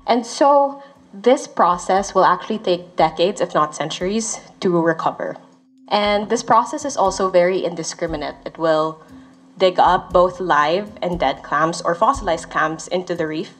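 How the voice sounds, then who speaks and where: A woman from the Philippines, a research associate, calmly explaining findings with occasional fillers. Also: lowish voice, moderate pace. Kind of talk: explanatory interview talk.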